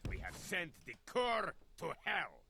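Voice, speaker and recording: A man's voice: high-pitched, huge pitch swings, unhurried, vocal fry, sharp tone, exaggerated voice. A man voicing a male army captain who gives formal battle reports in a video game. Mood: solemn. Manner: solemn, formal battle announcements.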